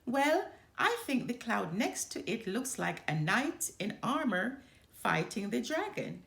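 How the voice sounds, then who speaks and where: A woman's voice: a little high, very animated, takes it slowly, creaky, fairly bright tone, roomy sound, exaggerated voice. A woman voicing a boastful, competitive young boy bear cub in a storybook, with a showy, emphatic way of talking. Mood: defiant.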